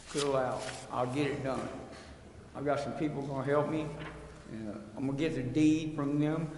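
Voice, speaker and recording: Male resident at a hearing, slightly high voice, plain-spoken and pleading, in a reverberant hall. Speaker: resident appealing at a city council hearing. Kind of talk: unscripted public plea. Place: council chamber. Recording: echoey hall, long reverb, faint noise.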